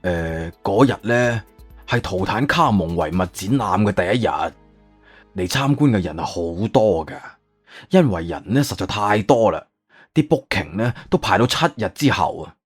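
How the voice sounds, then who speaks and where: 一個男人用粵語有聲小說嘅演法，扮演一個慌張、囉嗦、急於安撫人嘅博物館館長，講嘢猶豫多口頭禪。. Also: male voice, fairly low pitch, lively intonation, normal speed, slightly rough, soft background music. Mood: wordy, explaining. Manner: hesitant, filler-laden, long-winded. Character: flustered, wordy museum director, eager to placate. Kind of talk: Cantonese audiobook character acting.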